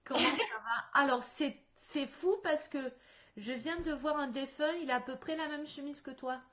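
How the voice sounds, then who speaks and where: A woman working as a medium in a spirit contact session over a video call. She talks in halting restarts and laughs often. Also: sits high, fairly bright tone. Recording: slightly dull video call audio.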